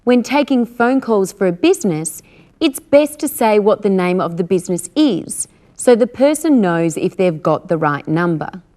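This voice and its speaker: A woman's voice: medium pitch, lively intonation, moderate pace, dark tone. A woman presenting a scripted English lesson with careful, instructional delivery. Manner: didactic, careful articulation.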